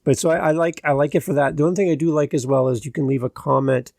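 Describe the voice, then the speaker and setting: Gravelly-voiced man, chatty podcast host. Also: a little high, fairly even intonation, very fast, a little mellow, dry recording, clean studio sound. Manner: chatty, uses filler "like". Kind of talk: casual podcast chat.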